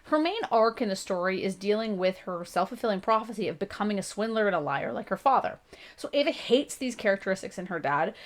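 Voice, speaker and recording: Chatty gravelly-voiced woman reviewing books. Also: medium pitch, expressive, fast talker. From Canada. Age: 30s. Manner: chatty and self-deprecating, with frequent laughs and restarts. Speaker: book reviewer, channel host. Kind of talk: casual spoken book review.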